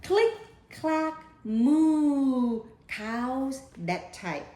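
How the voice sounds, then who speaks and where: A woman reading a children's picture book aloud in an expressive, deliberate storytelling style. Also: high-pitched. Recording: echoey room.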